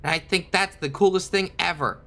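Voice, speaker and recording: A woman's voice: fairly low pitch, brisk, fairly bright tone. A woman playing an earnest, loving young schoolboy in an anime game fandub. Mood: earnest, reassuring. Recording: light music behind.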